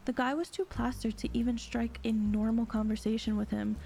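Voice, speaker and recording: A woman's voice: a little high, flat delivery, brisk, gravelly, warm tone, slight background noise. A woman playing a sly, sweet-talking con artist in an audio drama, with a sassy, teasing, self-assured delivery. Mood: playful, defensive. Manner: sassy, drawling terms of endearment, teasing.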